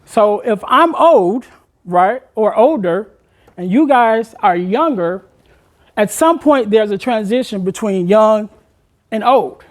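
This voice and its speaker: A man, a motivational speaker addressing a room of high school students, asking them questions and urging them to take part. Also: lively intonation, takes it slowly. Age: 40s. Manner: engaging the audience with questions, conversational and encouraging.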